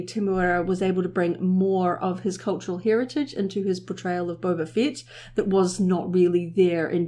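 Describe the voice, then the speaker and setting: A woman's voice: medium pitch, dark tone. A New Zealand woman co-hosting a podcast, speaking thoughtfully with occasional ums. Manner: thoughtful, hopeful, with filler ums. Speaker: podcast co-host. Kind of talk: casual podcast discussion.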